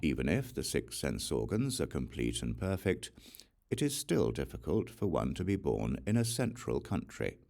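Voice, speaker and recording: A man narrating a Buddhist scripture as an audiobook, reciting solemnly in short, measured phrases. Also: extremely low pitch, very animated, creaky, darkish tone.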